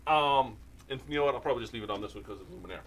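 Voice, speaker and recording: A man's voice: medium pitch, animated, very fast, a bit bright, husky. A man teaching sewing machine maintenance in a casual, jokey demo, often wandering off topic. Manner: rambling, jokey, easily sidetracked.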